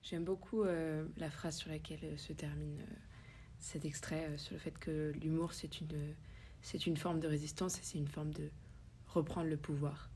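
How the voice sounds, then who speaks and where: A woman's voice: low voice, little pitch movement, normal speed, dark tone, a little hiss or hum. A woman podcast host introducing recorded clips, hesitant and full of fillers.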